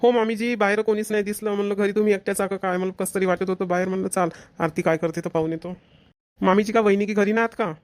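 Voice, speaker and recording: Cartoon son-in-law played by a man, earnest but easily slighted, high male voice, quick Vidarbha Marathi, dry sound. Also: steady tone, no echo, close to an everyday voice. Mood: inquisitive. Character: concerned, touchy son-in-law visiting his wife's family. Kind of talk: family comedy cartoon dialogue.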